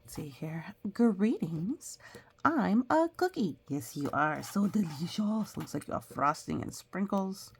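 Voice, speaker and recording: A woman's voice: deep voice, lively intonation, a little slow, gravelly, few pauses, breathy edge. A woman reading a children's picture book aloud, playful and full of exclamations and chatty asides.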